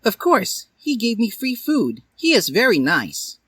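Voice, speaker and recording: Sweet, excitable schoolgirl for a story reading, played by a man: high, husky, girlish, very animated. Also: a little slow, no room sound, exaggerated voice. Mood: eager. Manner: gushing, girlish.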